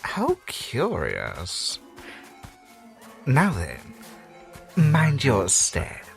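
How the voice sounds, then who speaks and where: A theatrical asylum host in an audio drama, courtly and faintly sinister. His pitch swoops and dives wildly and he drawls each phrase out slowly. Faint music plays underneath. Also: huge pitch swings, vocal fry, full character voice. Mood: curious. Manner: grand, courtly, slow and sweeping. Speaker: asylum owner character.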